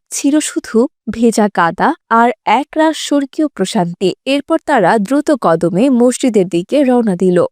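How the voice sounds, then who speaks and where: A woman's voice: on the high side, a little mellow, no echo. A woman reading scripted storybook narration for a cartoon tale.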